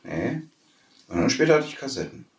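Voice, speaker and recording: A man's voice: medium pitch, big pitch swings, a little fast, distant mic. A middle-aged man reminiscing casually with friends as a podcast host. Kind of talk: casual nostalgic chat among friends. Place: echoey room, away from the mic. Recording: echoey, off-mic room sound.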